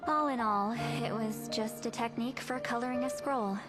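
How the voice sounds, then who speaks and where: A woman's voice: fairly high pitch, normal speed, close to an everyday voice. A woman voicing an aloof, centuries-old sorceress who talks in a reserved, matter-of-fact way. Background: faint game music.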